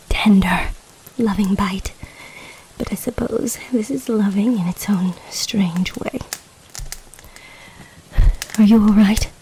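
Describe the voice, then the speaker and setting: A woman acts out an imperious lamia empress, possessive and deeply in love. Her voice is dark and velvety, with formal, stately phrasing and a dramatic weight suited to a fantasy roleplay audio drama. Some noise sits behind the recording. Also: poor audio. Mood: worried, tender. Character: regal, possessive, lovestruck snake-woman empress.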